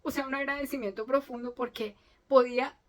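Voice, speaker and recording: A woman's voice: high-pitched, steady tone, brisk. Earnest woman telling a personal story. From Colombia. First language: Spanish. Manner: earnest, emotional, with many restarts. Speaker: vlogger telling her story.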